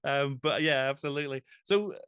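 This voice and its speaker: Laughing interviewer on a dry, close mic. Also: male voice, medium pitch, moderate pace, fairly bright tone, clean tone, no echo. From England. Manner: friendly, laughs often, thinks aloud. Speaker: podcast host, interviewer. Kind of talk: casual conversational interview. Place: home room, indoors.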